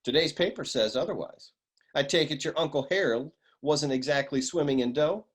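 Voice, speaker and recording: An actor playing a wisecracking hardboiled private eye in a comic noir radio serial, with deadpan, slangy tough-guy talk. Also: on the high side, normal speed, natural voice. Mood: probing, deadpan.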